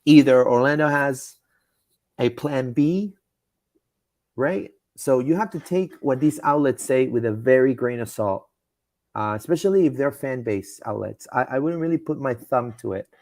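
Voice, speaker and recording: A man hosts a live soccer talk stream in an easygoing, rambling way, restarting sentences and leaning on fillers. His voice sits at an ordinary middle pitch. The sound is dry and close, with no room around it. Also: normal speed, darkish tone, dry recording. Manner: rambling, with frequent fillers and repeated words. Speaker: podcast host. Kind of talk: casual live-stream sports chat.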